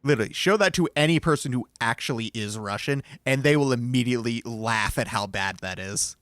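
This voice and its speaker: A man's voice: medium pitch, brisk, a bit bright, dry recording. Casual, dry-voiced male streamer. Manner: reads game lines aloud, laughs and swears. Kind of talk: casual chat over a game.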